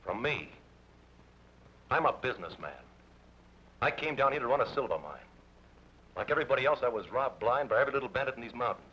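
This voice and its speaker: An actor playing a smooth, guarded businessman who fixes deals for a bandit chief, speaking in a measured, self-justifying way in old film drama style. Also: medium pitch, lively intonation, pushed and bright. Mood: defensive, insistent. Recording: dull, noisy old film soundtrack.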